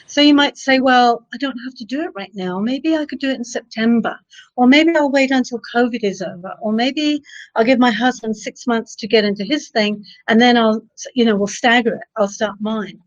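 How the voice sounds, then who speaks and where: A woman's voice: a little high, moderate pace, dry recording. Woman host, live video coaching, encouraging tone, dull dry sound. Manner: encouraging, gives practical advice with sayings.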